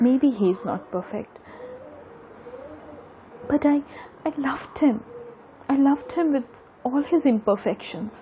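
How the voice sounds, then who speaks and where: A woman's voice: a little high, animated, a little slow, long pauses, dark tone, faint music behind, rough recording. A woman with an Indian Bengali accent playing a lovelorn young woman confiding in her best friend in an audio drama. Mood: wistful, lovelorn.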